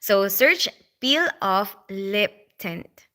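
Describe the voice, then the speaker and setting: A woman's voice: mid-range voice, animated, very slow, bright, forceful tone. A young woman from the Philippines with a Filipino accent, chatting casually on a livestream and reading out viewer comments, full of "like" and "you know". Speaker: livestream host.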